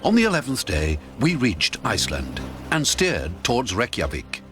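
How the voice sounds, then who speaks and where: A man giving dramatic scripted narration of a story, with an expressive, theatrical delivery. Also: mid-range voice, moderate pace, music in the background.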